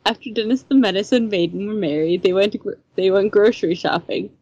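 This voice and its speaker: A woman co-hosting a podcast, reading comic fan fiction aloud casually in a husky, slightly breathy voice. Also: mid-range voice, a little fast, darkish tone, lo-fi sound.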